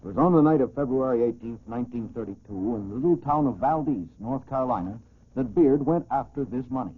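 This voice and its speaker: An actor playing a grave American police chief, solemnly narrating a case in a 1940s radio crime drama with a husky voice. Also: male voice, mid-range voice, lively intonation, brisk. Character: grave male small-town police chief recounting a case. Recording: tinny, band-limited old radio recording.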